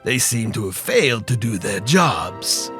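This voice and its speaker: A man playing a coldly threatening, businesslike crime boss in a noir radio drama, speaking formally and deliberately. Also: fairly low pitch, big pitch swings, sharp tone, soft background music, full character voice. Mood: contemptuous.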